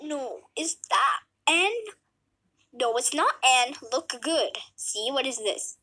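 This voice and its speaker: A woman voicing a calm, soothing doctor in a homemade comedy skit, speaking slowly and hesitantly. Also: sits high, heavily acted. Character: low-voiced calm doctor, adult.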